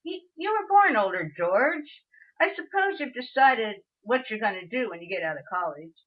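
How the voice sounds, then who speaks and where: A woman playing a gentle, earnest older father in an amateur radio play, speaking sincerely and a little haltingly. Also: on the high side, very animated. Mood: hopeful, tentative. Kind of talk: community theatre radio play acting. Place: remote video call. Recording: dull lo-fi video call audio.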